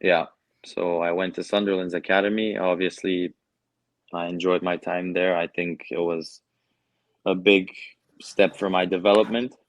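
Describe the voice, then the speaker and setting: A man's voice: sits low, dry recording. A young man with a Canadian accent, answering interview questions casually and with many fillers. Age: young adult. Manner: relaxed, hesitant with many fillers. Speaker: guest soccer player.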